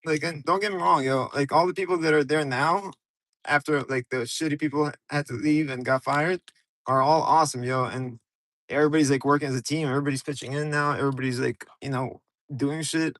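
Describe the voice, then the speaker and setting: A man's voice: fairly high pitch, fairly even intonation, brisk, fairly bright tone, dry recording. A man from Ukraine hosting a casual, rambling livestream from his car on his phone, talking loose and slangy straight to his viewers. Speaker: livestream host.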